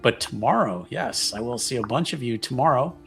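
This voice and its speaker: A man's voice: medium pitch, lively intonation, brisk, gravelly, fairly bright tone, faint music behind. A man hosting a tabletop gaming stream, chatting casually and jokingly in loose, hesitant phrases. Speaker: host of a tabletop roleplaying stream. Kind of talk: casual stream sign-off chat.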